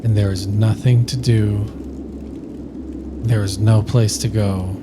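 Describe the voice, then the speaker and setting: Male sleep-meditation narrator. Flat, unhurried, soothing read with long pauses, over clearly audible ambient noise. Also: fairly low pitch. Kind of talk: scripted guided sleep meditation.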